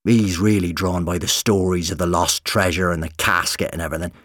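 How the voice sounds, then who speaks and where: A man voicing a serious, scholarly uncle with a measured, moralising way of talking, in an audiobook story. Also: sits low, fairly even intonation, a bit bright, no echo, studio quality. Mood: grave. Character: sober, principled uncle with a scholarly interest.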